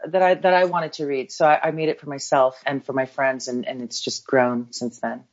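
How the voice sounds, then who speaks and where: An American woman with a low voice chats casually as a podcast guest. She laughs often and restarts her sentences as she talks. The recording sounds slightly dull. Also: fairly even intonation, fairly quick, a little mellow. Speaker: podcast guest, newsletter founder. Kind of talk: casual interview chat.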